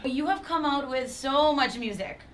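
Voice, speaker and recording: A woman's voice: high voice, moderate pace. An American woman hosting a casual radio interview with an enthusiastic, upbeat manner. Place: radio studio.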